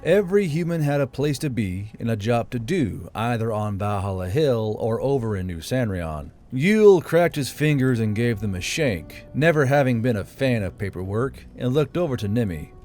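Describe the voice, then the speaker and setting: A man's voice: medium pitch, sing-song, moderate pace, hardly any pauses. A man reading a fantasy novel aloud as scripted audiobook narration. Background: quiet background music.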